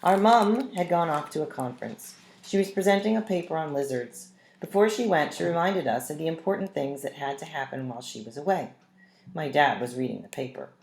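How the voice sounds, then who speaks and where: A woman reading a children's story aloud with expressive, deliberate phrasing that leans on the dialogue. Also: low-pitched, gravelly, a little hiss or hum. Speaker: read-aloud narrator. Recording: roomy, echoing room sound.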